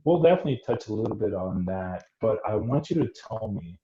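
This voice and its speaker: A man's voice: on the low side, lively intonation, gravelly, warm tone. Man hosting a podcast in a roomy, muffled recording. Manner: talks slowly, stacks up questions with restarts. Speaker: podcast host. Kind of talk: interview podcast. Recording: roomy, muffled audio with faint noise.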